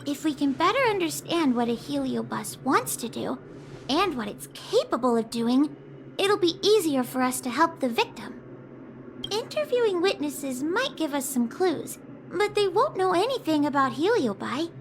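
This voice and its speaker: A woman's voice: sits high, sing-song, normal speed, a little hiss or hum. A woman voicing a small, bookish, cautious girl in a video game, earnestly explaining with some hesitation. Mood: careful, thoughtful. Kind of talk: video game character dialogue. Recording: music behind the voice. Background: game music.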